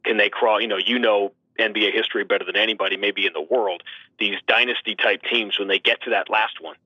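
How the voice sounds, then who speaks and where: A man's voice: fairly low pitch, steady tone, fast, pushed and bright, dry recording. Emphatic man talking sports, muffled lo-fi sound. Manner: emphatic, fond of rhetorical questions. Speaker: guest sports radio play-by-play announcer. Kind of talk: animated sports talk. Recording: dull, lo-fi radio-like line.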